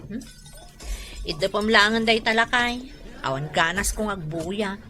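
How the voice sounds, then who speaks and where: A woman's voice: mid-range voice, a little slow, pushed and bright, a little hiss or hum. A woman playing a stern, fed-up lender. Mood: weary. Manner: firm, scolding. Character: stern grown woman fed up with unpaid loans. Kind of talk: radio drama acting.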